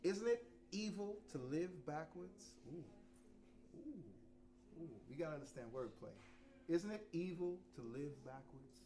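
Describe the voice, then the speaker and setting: A man in his fifties from New York, talking frankly and profanely to students at a masterclass, sometimes quiet and sometimes loud. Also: on the high side, animated, measured pace. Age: 50s. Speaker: visiting drummer teaching a masterclass. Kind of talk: casual talk to students. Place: rehearsal room.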